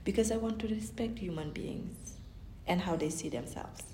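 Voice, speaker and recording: A woman's voice: medium pitch, moderate pace, dark tone, slight background noise. Woman, echoey room. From Senegal. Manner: thoughtful, restarts sentences, lots of "you know". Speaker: academic guest. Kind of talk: interview answers.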